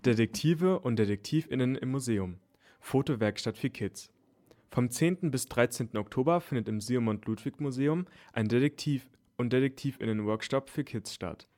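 A man's voice: medium pitch, normal speed, darkish tone. A man reading scripted radio news for children as a newsreader.

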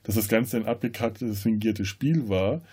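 A man co-hosting a podcast, casually discussing film in a halting, searching way. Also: on the low side, dark tone, slightly rough. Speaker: podcast co-host.

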